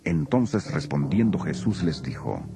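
A man narrating scripture from a script in a solemn, expressive way. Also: deep voice, measured pace, creaky, over music.

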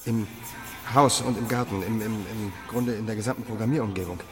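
A man playing an earnest, visionary AI programmer in a radio drama, speaking gently and a little hesitantly. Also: on the low side, fairly even intonation, fairly quick, lightly acted. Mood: enthusiastic. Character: earnest, visionary male AI programmer, devoted to his creation. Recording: long reverb, music behind.

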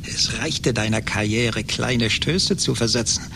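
Smug avenger, condescending, deliberate, gravelly creak, radio drama, noisy recording with rumble. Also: male voice. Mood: cruel, precise. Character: gloating, vengeful rich man taunting old friends. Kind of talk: German radio play acting.

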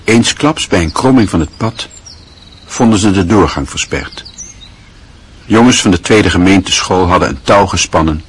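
Guy narrating a radio play, deep husky voice, calm and measured.

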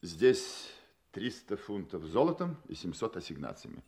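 A man's voice: sits low, very animated, a little slow, a little mellow, a little hiss or hum, full character voice. A man acting an anxious, proud royal client in an audio drama, speaking formally and with earnest emphasis.

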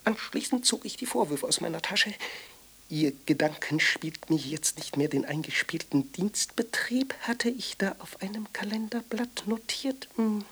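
Radio drama: fussy, exalted ascetic, breathy mutter with wide swings of pitch, halting and theatrical. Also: female voice, expressive, fairly bright tone, full character voice. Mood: fussy, interrogating. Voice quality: husky, breathy. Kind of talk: literary radio drama monologue.

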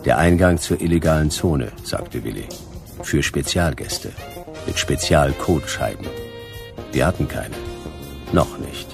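Very deep, gravelly private detective. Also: male voice, lively intonation, unhurried, long pauses, full character voice. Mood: laconic, wry. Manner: dry, terse, wry. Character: laconic, hard-boiled private detective narrating his case. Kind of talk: noir radio play narration and acting. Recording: music behind the voice.

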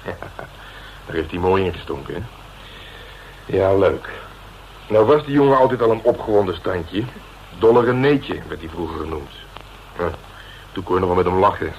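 An actor playing a gruff, streetwise veteran police detective, blunt and domineering in colloquial radio drama dialogue. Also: male voice, low-pitched, expressive, moderate pace, long pauses. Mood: wry. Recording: dull, noisy old radio recording.

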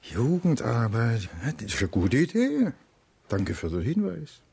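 A gruff older police inspector in a radio play. He grumbles bluntly, swings sharply in pitch and has a short temper. There is clear noise behind him. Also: wildly swooping pitch, unhurried, exaggerated voice. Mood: sly, brisk. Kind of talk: radio drama acting.

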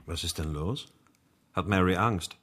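An actor playing a practical, inquiring police detective in a radio drama, plain and questioning in manner. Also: male voice, low voice, a little slow, background noise. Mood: matter-of-fact, inquiring.

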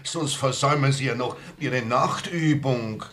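A man's voice: medium pitch, a little slow, a bit bright, natural voice. A man playing a harried, grumbling but obliging innkeeper in a radio drama, given to muttering. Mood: politely insistent. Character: flustered, grumbling innkeeper, obliging yet insistent.

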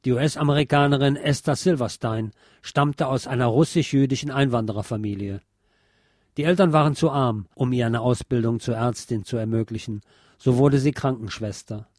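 A man's voice: mid-range voice, normal speed, darkish tone, no echo, studio quality. A man reading a scripted history documentary as narrator. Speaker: narrator of a history radio series.

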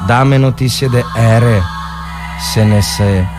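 A man voicing a homesick peasant soldier reading out his letter home, plainly and haltingly, with a northern Italian accent. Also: mid-range voice, natural voice. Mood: homesick, earnest. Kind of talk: dramatic literary reading. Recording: loud music under the voice.

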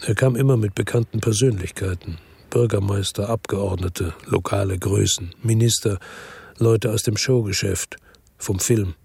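A hard-boiled male investigator telling his own story in a radio play. The voice is low, gravelly and husky. He keeps it terse and measured, recorded very dry and close. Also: mellow, no room sound, full character voice. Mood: measured, tense. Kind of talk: scripted first-person radio drama narration. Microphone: close dry studio microphone.

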